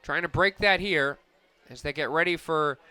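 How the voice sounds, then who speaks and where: A man calling softball play-by-play for radio, narrating each pitch and play in crisp descriptive detail. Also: normal speed, no room sound. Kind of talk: live softball radio commentary.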